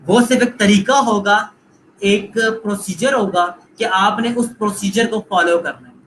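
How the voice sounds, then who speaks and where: A man's voice: high voice, fairly even intonation, unhurried, a bit bright, slight background noise, poor audio. A man with a Pakistani Urdu accent giving a live online lecture, coaching students and repeating points while mixing in English phrases. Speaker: online course instructor.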